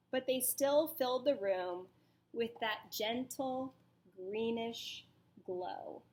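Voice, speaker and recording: A woman with a fairly high voice reads a children's picture book as a teacher would. Her pace is very slow and deliberate. She tells the story with drama and turns to her young listeners with questions. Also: a little mellow, a little hiss or hum. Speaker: teacher reading aloud to children.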